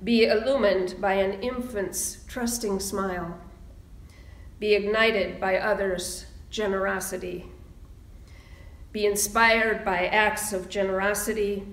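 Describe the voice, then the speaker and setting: A woman minister speaking in a measured, deliberate sermon style, pausing for emphasis as she reads and preaches. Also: mid-range voice, fairly even intonation, a bit bright. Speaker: minister leading a church service. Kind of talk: sermon and slow, solemn readings. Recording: roomy, echoing sound.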